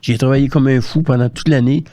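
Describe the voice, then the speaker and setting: A man's voice: mid-range voice, steady tone, brisk, dark tone, no echo. An older man with a Quebec French accent telling career anecdotes casually as an interview guest, colloquially re-enacting conversations with quoted dialogue. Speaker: guest, former hockey scout.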